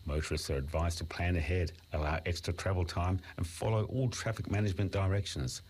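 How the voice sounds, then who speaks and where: A man reading a scripted local news bulletin in a measured, even way. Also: low-pitched, slightly rough. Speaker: news reader.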